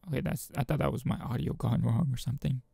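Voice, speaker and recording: A man giving a casual let's play of a visual novel, reading text aloud and rambling as he thinks out loud. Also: medium pitch, steady tone, quick pace, gravelly, slightly rough, dry recording. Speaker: gaming video host.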